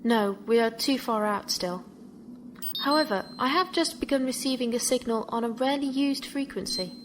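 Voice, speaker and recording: An actress playing a composed, formal ship's artificial mind, speaking precisely and with measured care in a science fiction audio drama. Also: female voice, fairly high pitch, slight background noise, lightly acted. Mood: composed, factual. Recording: music behind the voice.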